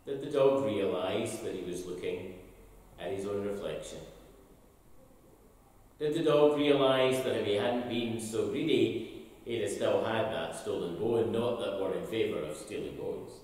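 An older man, a minister, gives an expressive, slowly told all-age talk from the pulpit of a large church sanctuary. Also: fairly low pitch. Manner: storytelling, slow and expressive. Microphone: far camera, pulpit mic. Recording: echoey church hall from a distance.

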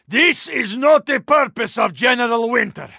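An actor playing a hulking, frozen Russian super-soldier in a video game, speaking formally and deliberately, earnest and stoic, with a Russian accent. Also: male voice, very high-pitched, expressive, gravelly, pushed and bright, dry recording, clean studio sound, full character voice. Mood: forceful, resolute. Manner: formal, deliberate, literal-minded phrasing with dropped articles.

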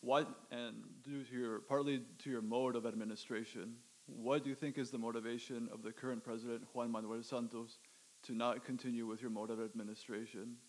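A young man asking a question from the audience at a university lecture in a measured tone. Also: medium pitch, flat delivery, darkish tone, low-quality recording. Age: young adult. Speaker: student questioner. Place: large lecture hall. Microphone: standing audience microphone.

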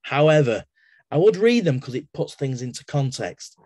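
A man's voice: medium pitch, sing-song, moderate pace, a little mellow, no echo. A middle-aged man with an English accent, talking casually on a video call from his home study as a webinar speaker, digressing into everyday examples and often restarting his sentences. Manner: chatty, digressive, with everyday analogies and frequent restarts. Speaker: webinar guest speaker, exam assessor. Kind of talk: casual explanatory talk in a webinar. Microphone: laptop webcam on a video call.

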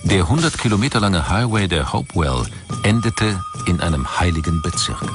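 A man reading scripted documentary narration in a measured, factual manner. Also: low-pitched, expressive. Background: background music.

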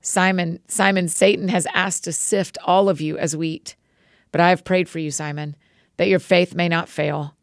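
A woman reading the words of a calm, authoritative male teacher in a measured, solemn way. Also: on the low side, creaky, dry recording, clean studio sound.

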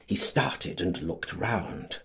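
A man narrating a literary audiobook in a theatrical, deliberate, scripted style, with a husky voice. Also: low voice, expressive, fairly bright tone.

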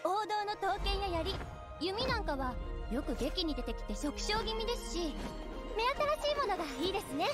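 Sassy, very high-pitched young woman. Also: animated. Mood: musing. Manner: brisk, theatrical. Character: sassy, exasperated young female opera writer. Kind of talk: anime game trailer voice acting. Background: loud orchestral trailer music.

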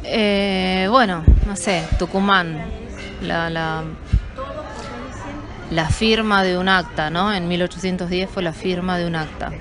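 A woman answering interview questions at an event, hesitant and halting, often repeating words. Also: on the low side, fairly even intonation, over music. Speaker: event attendee being interviewed. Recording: chattering crowd at an event.

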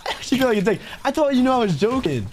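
Young man, brash, swearing, rambling, lively intonation, noisy background. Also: very high voice, rapid-fire. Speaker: streamer. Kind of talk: casual voice chat while gaming.

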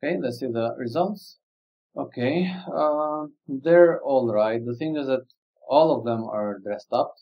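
A man whose native language is Albanian gives a relaxed screen-recorded software demo, thinking aloud with frequent fillers. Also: mid-range voice, dull audio. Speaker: software company presenter. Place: indoor room, webcam corner.

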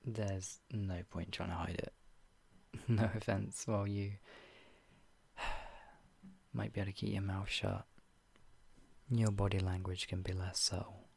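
A young man gently comforting the listener in a whispered roleplay, speaking in a soothing, caring way. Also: low voice, level tone, slow pace, vocal fry, long pauses, husky.

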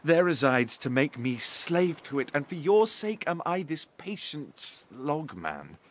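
Man playing a slow, lovestruck young suitor. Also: fairly high pitch, sing-song. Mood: ardent. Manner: ardent, reverent. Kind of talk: Shakespearean romantic acting.

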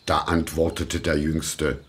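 A man narrating a scripted fairy tale in a deliberate, expressive storytelling manner. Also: rumbling low, slight background noise. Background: music.

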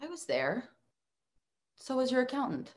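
A young woman playing an anxious, skeptical former assistant in a comic table read, deadpan and incredulous, over video call. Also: sits high, normal speed. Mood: dry.

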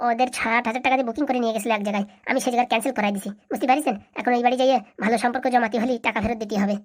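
A crafty young village fixer from a comic cartoon, performed by a woman. The voice is high-pitched and extremely quick. He is sly and pushy, yet puts on great humility. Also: steady tone, natural voice. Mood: scheming, persuasive. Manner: sly, insistent, mock-humble. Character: crafty, self-deprecating village schemer lad, a go-between.

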